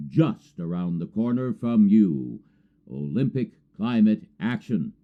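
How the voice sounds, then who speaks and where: A man hosting a program, reading a scripted announcement in a deliberate, earnest promotional manner. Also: on the low side, phone-quality sound. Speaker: program host and narrator.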